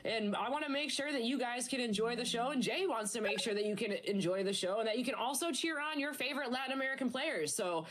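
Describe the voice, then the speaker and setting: A woman's voice: fairly high pitch, fast, hardly any pauses, fairly bright tone. A woman casting an esports stream, chatting in a bubbly, upbeat way with her co-caster. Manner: bubbly, chatty, promotes merch. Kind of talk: casual esports stream commentary.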